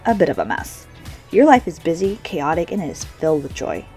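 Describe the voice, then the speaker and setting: A woman's voice: low-pitched, wildly swooping pitch, a little fast, darkish tone. Bubbly lady hosting a podcast, cheerleader vibe, light music under it. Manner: upbeat, cheerleader-like. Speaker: podcast host. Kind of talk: scripted show intro, then interview questions. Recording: faint music behind.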